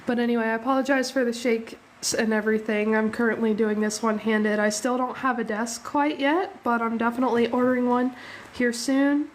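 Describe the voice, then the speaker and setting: A young woman hosts a casual drawing stream from home, sounding slightly sniffly after a cold. Her voice sits a little higher than average, and she chats easily with viewers, with quick thanks and frequent laughs. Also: steady tone, a little hiss or hum. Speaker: art livestream host. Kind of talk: casual live drawing chat.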